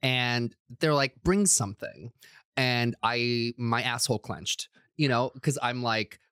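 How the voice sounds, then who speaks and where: A man's voice: mid-range voice, fairly bright tone, clear, resonant tone, no room sound, studio quality. A man from Croatia, co-hosting a podcast in casual chat, joking and self-deprecating. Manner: joking, self-deprecating, quick asides with frequent laughs. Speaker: podcast co-host.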